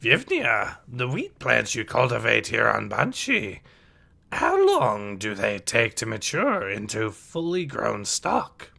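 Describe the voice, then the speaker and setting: A small, ancient alien sage played by a man teaches with solemn patience in a comic-book read-aloud. The voice is croaky and gravelly, the delivery slow, with backwards phrasing, thoughtful hums and wide swings in pitch. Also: wildly swooping pitch, pushed and bright, heavily acted. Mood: solemn, teacherly. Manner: inverted word order, deliberate pauses, musing hums.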